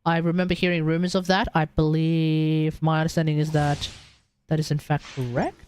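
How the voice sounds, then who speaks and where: A man's voice: fairly high pitch, level tone, moderate pace, darkish tone, a little hiss or hum. A man doing casual livestream game commentary, rambling and thinking aloud over game music. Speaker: game streamer. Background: video game music.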